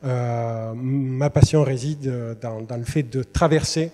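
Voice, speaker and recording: A man presents his work as a translator in a hesitant way, with constant fillers. His timbre is dark and soft. Long reverb from a large hall carries his voice. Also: medium pitch, fairly even intonation, slow. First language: Italian. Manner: hesitant, many fillers and restarts. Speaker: literary translator. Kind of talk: presentation to students. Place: university lecture hall.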